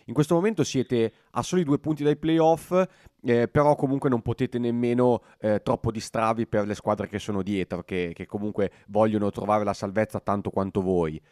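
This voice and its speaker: A man's voice: fairly low pitch, steady tone, moderate pace, darkish tone, dry recording, clean studio sound. A man hosting a sports interview on the radio, introducing and questioning his guest.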